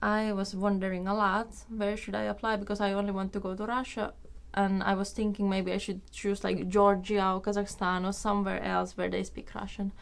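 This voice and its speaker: A woman's voice: medium pitch, level tone, brisk, creaky, clear, resonant tone. A young woman in her twenties from Finland, with a Finnish accent, telling a personal story casually as a vlogger. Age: 20s. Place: small room indoors.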